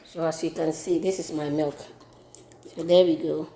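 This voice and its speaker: A woman with a Ghanaian accent demonstrating a home recipe in her kitchen, relaxed and repeating herself as she works. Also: sits low, normal speed, a little hiss or hum. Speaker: home cooking vlogger. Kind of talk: casual cooking demonstration.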